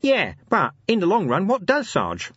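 Guy doing cheeky schemer watchman for a fantasy audiobook, pitched up, rambly and eager, bit husky. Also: huge pitch swings, normal speed, fairly bright tone, no echo, highs cut off, heavily acted. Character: Nobby, scheming cheeky low-ranking city watchman.

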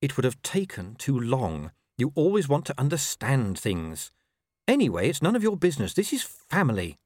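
A man's voice: mid-range voice, huge pitch swings, moderate pace, a little mellow, dry recording, pitched above the performer's own voice. Man as a sarcastic, exasperated werewolf woman. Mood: defensive. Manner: dry, sing-song sarcasm. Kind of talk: audiobook character voice.

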